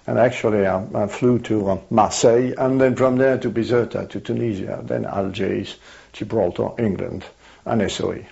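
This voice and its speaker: An older man with a French accent, a native French speaker, recalling his life in an oral history interview, pausing often with fillers and restarts. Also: fairly low pitch, steady tone, creaky, darkish tone. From France. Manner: hesitant, many fillers and restarts. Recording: dull lo-fi archival tape.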